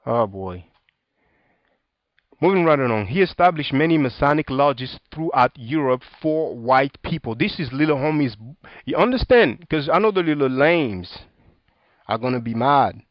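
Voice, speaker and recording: A man with a French accent delivers a sarcastic, combative commentary full of street slang, reading passages aloud and jabbing at critics. His voice sits at an ordinary middle pitch. The recording is dry, close and a little dull. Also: expressive, moderate pace, no echo. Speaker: video commentator. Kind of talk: casual commentary reading from a book and website.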